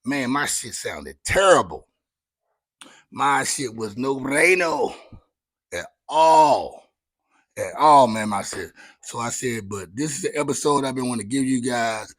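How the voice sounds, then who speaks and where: Raspy dude hosting his own chit chat show, loose and cussing, dry close mic. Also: mid-range voice, animated, a little slow, a bit bright, dry recording. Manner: loose, candid, slangy and profane. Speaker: podcast host. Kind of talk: casual solo chat.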